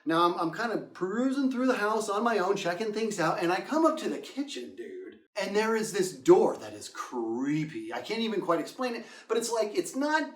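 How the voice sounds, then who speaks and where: A man with a high voice tells a casual story with theatrical flair, his pitch swinging widely. He acts out reactions as he goes. The sound is echoey and set back from the microphone. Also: big pitch swings, normal speed, hardly any pauses, recorded from a distance. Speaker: storyteller, host of the show. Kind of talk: animated casual anecdote telling. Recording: roomy echo, off the mic.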